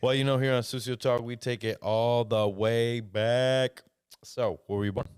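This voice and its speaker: A man's voice: medium pitch, fairly even intonation, moderate pace, a little mellow, clear, resonant tone, no room sound. A man hosting a podcast interview, rambling casually and swearing freely. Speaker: podcast host.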